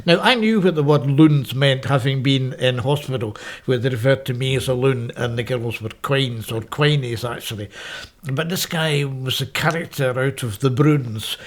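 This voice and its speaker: A man's voice: medium pitch, moderate pace. A man in his seventies with a Scottish accent, recalling his school days in a reflective, wry interview, often correcting himself mid-sentence. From Scotland. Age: 70s. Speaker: interviewee, professor. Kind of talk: oral history interview, reminiscing. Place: parish house room, indoors.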